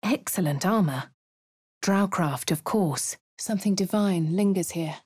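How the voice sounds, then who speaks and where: An actress voicing a posh English woman adventurer in a video game, speaking crisply with a dry, self-assured manner. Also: lowish voice, measured pace, darkish tone, no echo. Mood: wry. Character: posh young woman adventurer, cool and wry. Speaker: player character. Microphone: close studio mic.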